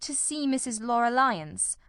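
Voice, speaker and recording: A woman acts out a lean, keen gentleman detective in an audiobook. The voice is high for the part and recorded very dry. The delivery is precise, composed and politely teasing, with an incisive, ironical edge. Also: expressive, unhurried, clear, resonant tone, no echo. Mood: brisk, approving. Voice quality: cold, incisive. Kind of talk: audiobook character dialogue.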